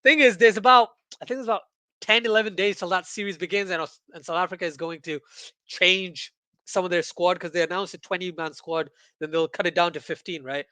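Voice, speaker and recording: Male livestream cricket host from Pakistan, high and bright voice, close dry sound, excitable casual chat. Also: fairly quick, clean tone, dry recording. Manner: excitable, repeats phrases for emphasis. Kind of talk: casual watchalong chat, reading viewer comments aloud.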